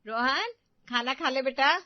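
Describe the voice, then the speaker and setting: A woman's voice: high-pitched, unhurried, dry recording, pitched above the performer's own voice. A loving but stern old grandmother, as a woman storyteller puts her on, soothing one moment and scolding the next. Her voice comes close and dry, without room sound, and a little dull on the top end. It is a storybook narration. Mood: tender. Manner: pleading, scolding when angry.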